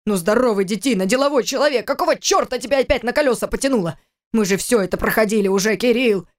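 Audiobook drama figure: a stern older father acted out by a woman, grieving and angry. The delivery is forceful and reproachful, pressing a grown son with pointed questions. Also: sits high, fast talker, natural voice. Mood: angry, demanding.

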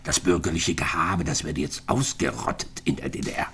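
A man's voice: rumbling low, moderate pace, creaky, a bit bright, breathy edge, full character voice. An actor in a radio drama playing a bitter, lonely retired East German physicist in his sixties, reflecting in clipped phrases. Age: 60s.

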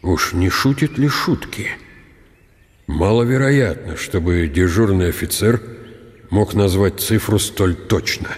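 An actor playing a proud, ironic field marshal of eighty, musing slowly and deliberately in a radio drama. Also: male voice, low voice. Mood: wondering, wry. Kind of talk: radio drama inner monologue. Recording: long reverberant hall sound.

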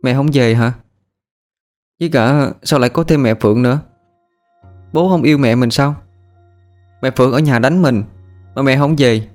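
A man's voice: medium pitch, animated, brisk, pauses often, darkish tone, soft background music, pitched above the performer's own voice, lightly acted. A man voicing a small boy who is scared and misses his mother, talking in a whiny, pleading way.

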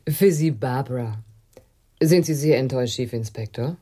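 Female superintendent, radio crime drama: low, husky, cool and self-assured. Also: normal speed, warm tone. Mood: cool, amused. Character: composed, self-confident female police superintendent.

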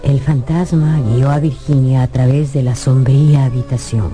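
Lady narrator for a radio novel, deep voice, expressive storytelling, music behind her. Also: moderate pace. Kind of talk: dramatic scripted storytelling for radio.

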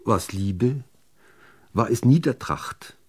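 A man reciting literary poetry in a measured, deliberate manner with dramatic pauses between phrases. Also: mid-range voice, expressive, a little mellow, breathy edge. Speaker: reader of poetry.

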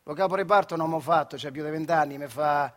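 A man's voice: fairly high pitch, quick pace, a bit bright, natural voice. A male actor with a Marche accent from central Italy playing Adriano, an earthy, wry factory worker who tells his memories in a colloquial theatrical monologue. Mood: wry narrating. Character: Adriano, working-class old factory man telling his childhood memories. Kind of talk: theatrical monologue storytelling in dialect-tinged speech.

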